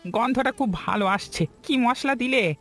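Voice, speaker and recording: A man voicing a kind, hardworking village woodcutter husband in a Bengali cartoon story, earnest and soft-hearted. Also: very high-pitched, moderate pace, faint music behind. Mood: tender, weary.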